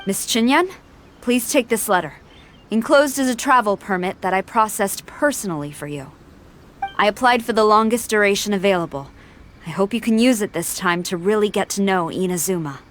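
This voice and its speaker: A woman playing a dutiful female military commander in a video game, formal, measured and authoritative. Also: medium pitch, a little hiss or hum, lightly acted. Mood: grateful, formal.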